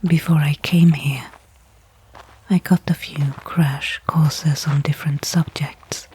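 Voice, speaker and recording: Woman acting as tired hopeful lady stranded in space, low soft whisper, asmr sci-fi roleplay, takes her time. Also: fairly even intonation, slight background noise, low-quality recording. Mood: weary, hopeful. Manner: hushed, slow, reflective narration with pauses. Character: stranded female space support worker, weary, hopeful, wonderstruck.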